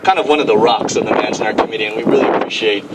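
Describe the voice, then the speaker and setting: A man in his thirties giving an informal award introduction from a windy outdoor stage, speaking haltingly. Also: on the low side, far from the mic. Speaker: master of ceremonies. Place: outdoor stage in strong wind. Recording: windy outdoor stage mic, loud wind noise.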